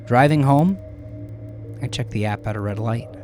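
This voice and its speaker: A man narrating a first-person dramatic audio story in a confessional, conversational manner with dramatic pauses. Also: mid-range voice. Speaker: narrator of his own horror story. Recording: music behind the voice. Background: background music.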